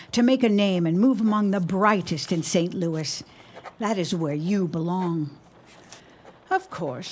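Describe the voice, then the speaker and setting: Woman acting a low-voiced, tender period-drama mother. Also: a little hiss or hum. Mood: proud, admiring, then wistful, inviting. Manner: tender, measured, earnest, slightly formal period diction. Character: devoted middle-aged widowed shopkeeper mother, wistful, proud. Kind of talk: audio drama monologue, reading a letter aloud.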